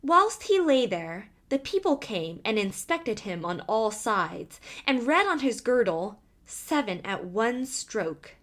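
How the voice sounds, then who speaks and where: A woman reading a fairy tale aloud as a storyteller, with expressive, deliberate delivery. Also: on the high side. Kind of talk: scripted fairy tale narration.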